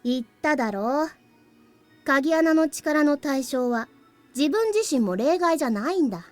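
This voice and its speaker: Cool, high-voiced young boy. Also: lively intonation, fairly quick, faint music behind. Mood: grave, composed. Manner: cool, matter-of-fact, slightly cheeky. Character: calm, aloof young boy, crystallized past memory of the hero. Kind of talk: Japanese visual novel voice acting.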